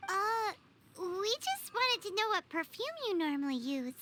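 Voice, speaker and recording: Tiny childlike creature, extremely high squeaky voice, animated intonation, blunt, excitable, video game sidekick. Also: female voice, a little slow, a little hiss or hum, exaggerated voice. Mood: eager. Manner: refers to self in third person, exclamatory. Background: video game music.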